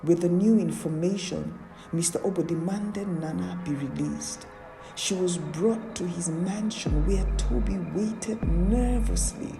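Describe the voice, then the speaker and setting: A woman narrating a scripted story in a measured, dramatic storytelling style. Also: on the low side. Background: music.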